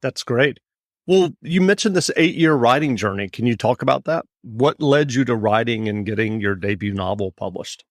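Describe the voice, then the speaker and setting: A man's voice: mid-range voice, expressive, normal speed, dry recording, studio quality. A male podcast host asking brief, encouraging follow-up questions in an interview.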